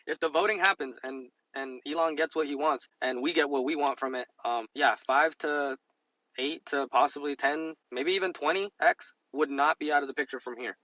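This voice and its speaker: A man co-hosting a podcast in casual chat, halting and repeating phrases as he thinks, on a slightly dull mic. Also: on the high side, bright, forceful tone, dry recording. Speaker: podcast co-host discussing stock investing.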